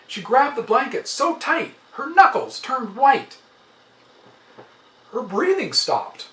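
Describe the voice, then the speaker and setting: A man reading a short story aloud as a dramatic, expressive narration. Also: extremely high pitch, slow pace. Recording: roomy, slightly distant, faint noise.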